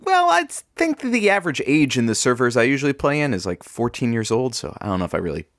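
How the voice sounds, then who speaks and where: A woman's voice: rumbling low, very animated, fast. A woman playing a wry, no-nonsense radio show producer. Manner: wry, bossy.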